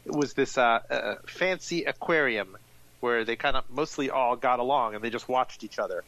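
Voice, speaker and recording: A man in his forties, an author and journalist, telling a long story as a guest. His delivery is fluent and animated, with occasional restarts as his thoughts race ahead, and his pitch sits at an ordinary middle level. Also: normal speed, no room sound, low-quality recording. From grew up in Miami, born in Iran. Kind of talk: interview guest.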